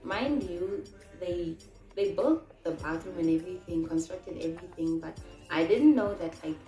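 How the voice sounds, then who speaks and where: A woman's voice: lowish voice, moderate pace, mellow, reverberant, faint music behind, rough recording. A woman in her twenties from Namibia, answering viewer questions in a casual, chatty vlog and telling stories with many fillers. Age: 20s. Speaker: vlogger.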